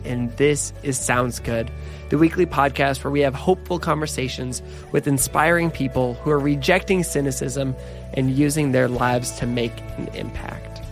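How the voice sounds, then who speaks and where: Effusive, earnest male podcast host. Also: mid-range voice. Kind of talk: podcast intro and interview questions.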